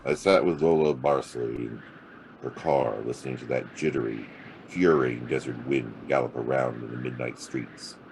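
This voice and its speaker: Very low-voiced hard-boiled detective. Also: male voice, steady tone, normal speed, vocal fry, heavy background noise. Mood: brooding. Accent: American. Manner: sardonic, wry, clipped. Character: hard-boiled, wisecracking male private detective, narrating his case. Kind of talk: noir audio drama acting and narration.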